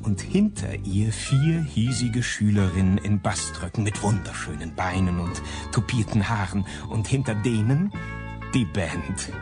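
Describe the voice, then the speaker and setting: An actor as a wry, deadpan narrator delivering scripted radio crime drama narration. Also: male voice, on the low side, a little slow. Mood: atmospheric. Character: wry, brooding male crime-story narrator. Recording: music behind the voice.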